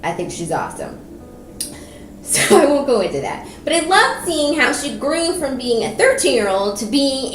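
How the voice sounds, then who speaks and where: A woman giving a casual, chatty and enthusiastic book review, talking as if to viewers of her own video. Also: high-pitched. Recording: roomy echo with background noise.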